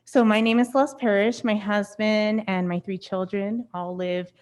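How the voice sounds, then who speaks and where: A woman's voice: mid-range voice, fairly even intonation, normal speed, a little mellow. A woman speaking as a member of the public at a council meeting, nervous and apologetic. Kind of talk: public comment. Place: council chamber.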